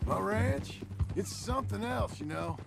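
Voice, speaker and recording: A gravelly weary ex-outlaw. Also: male voice, high-pitched, animated, a little slow, full character voice. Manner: laconic and wry. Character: weathered ex-outlaw rancher, middle-aged and world-weary. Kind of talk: video game cutscene acting. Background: game music and horse hooves.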